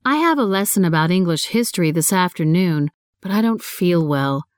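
A woman reading a scripted dialogue clearly and deliberately, as in a listening test. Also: on the low side, expressive, dry recording, studio quality.

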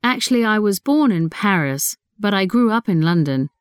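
Smooth woman reading scripted dialogue. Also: mid-range voice, expressive, moderate pace, dry recording, studio quality. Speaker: voice actor in a listening test.

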